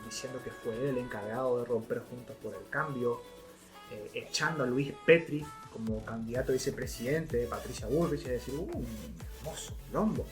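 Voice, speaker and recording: A man's voice: medium pitch, brisk. A man with an Argentine accent giving colloquial, opinionated political commentary as co-host of a podcast. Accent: Argentine Spanish. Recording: music playing behind. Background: background music.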